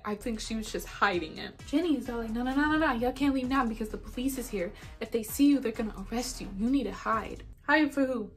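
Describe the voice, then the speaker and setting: Young female vlogger with a high voice, dramatic casual storytelling from her kitchen over soft background music. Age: young adult. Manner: animated, dramatic storyteller who breaks into song. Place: home kitchen, indoors. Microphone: phone camera propped on counter.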